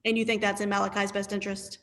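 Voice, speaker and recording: Female attorney, gravelly edge, methodical courtroom questioning over a video call. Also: medium pitch, flat delivery, fast, a bit bright. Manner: formal, methodical yes-or-no questions.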